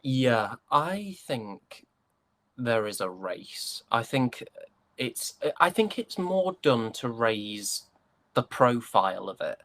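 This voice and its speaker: A man's voice: mid-range voice, big pitch swings, takes it slowly, a bit bright. A man with a British accent chatting casually as an interview guest, hesitant and jokingly self-deprecating. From United Kingdom.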